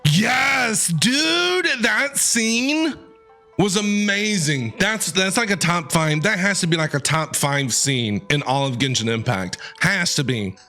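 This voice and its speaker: A man giving casual, slangy gameplay commentary as an excitable streamer. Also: high voice, slow. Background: game music.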